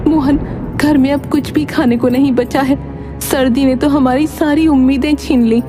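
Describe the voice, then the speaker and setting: Casting: female actor as worried poor villager's wife for a cartoon fable, high and plaintive, music bed. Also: fairly even intonation, unhurried. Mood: worried, despairing. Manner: plaintive, despairing. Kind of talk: Hindi animated moral story voice acting.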